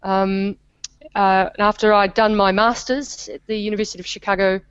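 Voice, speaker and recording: A woman with a New Zealand accent explains things at a measured pace as an interview guest. Her voice is smooth and clear, heard close and dry, with a slightly muffled, dull tone. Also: medium pitch, flat delivery, no room sound. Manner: measured, explanatory, with small restarts. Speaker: academic guest. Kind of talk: interview answers.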